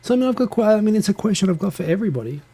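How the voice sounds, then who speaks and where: Man, New Zealand accent, dark mellow tone, rambling self-deprecating chat, close handheld mic. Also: sits high, lively intonation, slightly rough. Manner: rambling, self-deprecating, talks fast with restarts. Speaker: livestream host. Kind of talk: casual solo live chat. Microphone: handheld USB recorder mic close. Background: computer fan hum.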